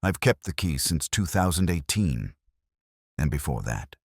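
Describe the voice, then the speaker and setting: A man's voice: a bass voice, very animated, unhurried, breathy edge, dry recording, studio quality, heavily acted. A man voicing an anxious, defensive records clerk in a mystery story. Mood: hesitant, defensive.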